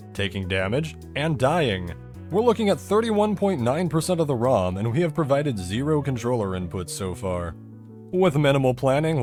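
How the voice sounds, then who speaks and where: A man narrates a scripted technical explainer about a video game. His pitch moves up and down a lot as he lays things out step by step, with dry humor in the delivery. Music plays along with the voice.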